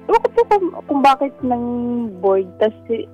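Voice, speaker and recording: A woman's voice: high voice, big pitch swings, moderate pace, mellow. A polite, hesitant woman with a Filipino accent telling her own story as a caller to a radio show over a lo-fi phone call. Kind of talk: casual personal account. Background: faint music.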